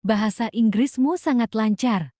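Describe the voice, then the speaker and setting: A woman's voice: a little high, moderate pace, dark tone, dry recording, clean studio sound. A woman reading short translated phrases in a language lesson. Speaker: translation narrator.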